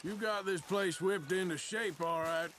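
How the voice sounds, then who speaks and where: A man playing a gruff, sardonic American outlaw cowboy in a video game, drawling, dry and blunt. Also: high-pitched, unhurried, a bit bright, a little hiss or hum. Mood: dry, casual.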